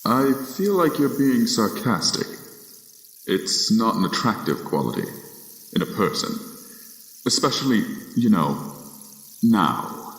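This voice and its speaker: A man's voice: on the low side, very animated, takes it slowly, pauses often, heavily acted. A young man acting in a radio drama as a polite, businesslike Death figure with a hesitant, understated, formal manner. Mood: mildly defensive. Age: young adult. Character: polite, unhurried Death-like collector, modest and businesslike. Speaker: student voice actor. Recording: echoey, long reverberant room sound.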